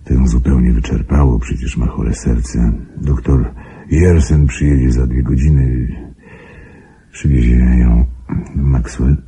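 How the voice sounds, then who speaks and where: Worn-out troubled husband, radio play, super deep gravelly voice, slow and grave. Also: male voice, steady tone, warm tone, a little hiss or hum. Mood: grave, resigned. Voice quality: husky, gravelly low voice. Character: weary, troubled wealthy husband of a sick wife.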